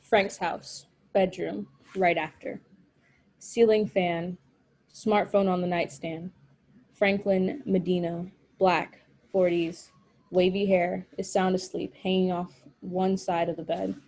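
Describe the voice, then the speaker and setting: A woman's voice: on the low side, flat delivery, slow pace, darkish tone. A woman narrating stage directions from a screenplay at a table read.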